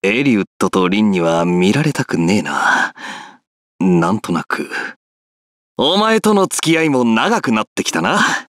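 An actor playing a rough, brash but friendly young warrior lord in a video game, casual and confident. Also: male voice, lowish voice, big pitch swings, brisk, fairly bright tone, no echo, studio quality. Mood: cocky, casual. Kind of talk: Japanese video game character voice clips.

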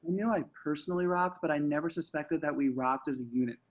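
Man playing a high-voiced awkward young slacker. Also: fast, natural voice. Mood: awkward, casual. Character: awkward, defensive young slacker guy in his twenties. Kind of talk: table-read acting over video call. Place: remote group video call.

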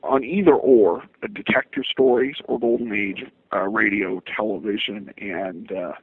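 An American man answers interview questions casually and haltingly. His voice is rough and husky with plenty of creak, and it comes over a narrow, phone-like line. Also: fairly low pitch. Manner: halting, hesitant. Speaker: interview guest, podcaster and writer.

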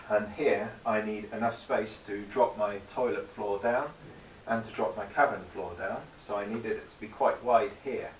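A man's voice: fairly low pitch, roomy sound, distant mic, slight background noise, rough recording. A man in his thirties with the flu, casually explaining his boat build while working in a cold garage workshop. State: has the flu.

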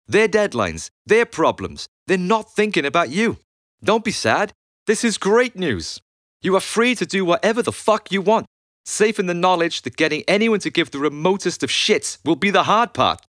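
A man reads his own memoir as an audiobook, with an animated, widely moving voice. His delivery is conversational and blunt, with firm emphasis on his points. The sound is dry and close, free of room echo. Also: a little high. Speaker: author and musician reading own audiobook. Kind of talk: audiobook narration of a candid memoir.

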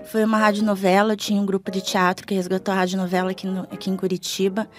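A woman's voice: medium pitch, completely flat delivery, quick pace. A middle-aged woman with a Brazilian accent chatting informally as a radio interview guest, often adding tag questions. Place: small foam-padded radio studio. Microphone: studio microphone. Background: faint music.

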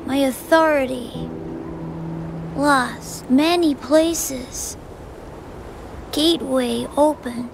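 Slow, high-voiced spirit cat. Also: female voice, gravelly, slight background noise, heavily acted. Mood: weary, solemn. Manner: halting, clipped broken phrases.

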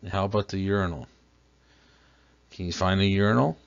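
Low-voiced man teaching over slides. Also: a little fast, gravelly. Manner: patient, explains step by step with questions. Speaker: plumbing instructor. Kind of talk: slideshow lesson narration. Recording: dull lo-fi voice-over.

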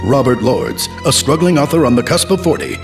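A man's voice: mid-range voice, huge pitch swings, a little fast. A man delivering scripted narration as the announcer of an audio trailer. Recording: music bed behind narration.

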